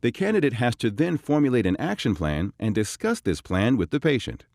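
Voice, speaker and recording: A man narrating a scripted instructional video in a clear, measured, explanatory way. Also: mid-range voice, big pitch swings, darkish tone, dry recording, clean studio sound.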